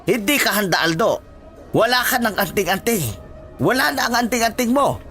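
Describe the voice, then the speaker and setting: A man's voice: extremely high pitch, lively intonation, normal speed, pushed and bright, full character voice. A man with a Filipino accent playing a knowing village mentor giving grave warnings in a horror audio story. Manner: grave, cautioning. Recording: faint music behind the voice.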